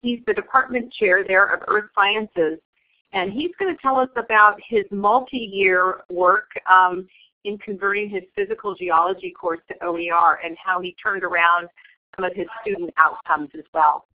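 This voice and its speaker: A woman's voice: medium pitch, fairly even intonation, moderate pace. Woman moderating a webinar over a phone line. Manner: friendly, introduces speakers, many ums. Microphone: phone or web call. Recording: tinny phone-line audio.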